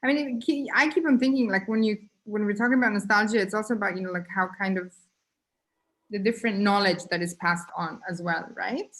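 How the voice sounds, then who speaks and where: A woman in a casual online conversation, thinking aloud in halting phrases full of fillers. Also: medium pitch, a bit bright, poor audio. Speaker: guest artist.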